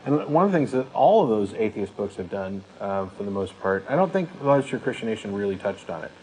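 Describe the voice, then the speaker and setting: Man, lowish pitch, talk show co-host, conversational commentary, faint background noise. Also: big pitch swings, fairly quick, warm tone, low-quality recording.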